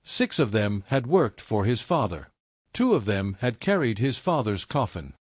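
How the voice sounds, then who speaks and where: A man's voice: mid-range voice, very animated, a little slow, breathy edge, no echo, studio quality. A man reading a dramatic story as scripted audiobook narration.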